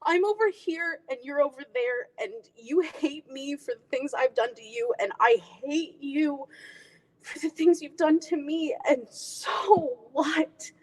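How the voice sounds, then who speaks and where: A woman performing a dramatic monologue as a wounded, bitter grown daughter confronting her mother, emotional and pausing deliberately. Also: sits high, voice pushed up, rough recording. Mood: bitter, accusing. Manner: emotional, tearful, deliberate pauses.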